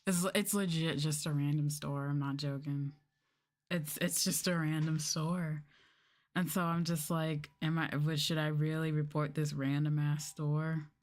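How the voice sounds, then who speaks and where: Low-pitched woman, soft ASMR stream chat. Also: little pitch movement, unhurried. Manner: casual, rambling, swears. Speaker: VTuber streamer. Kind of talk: ASMR livestream soft-spoken casual chat.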